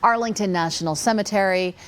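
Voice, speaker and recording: A woman's voice: mid-range voice, brisk. A woman with an American accent reading scripted television news as an anchor.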